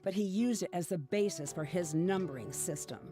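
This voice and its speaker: A woman's voice: lowish voice, expressive, brisk, music in the background, close to an everyday voice. An actress playing an impatient, resentful young woman in a video game, speaking curtly. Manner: curt, resentful.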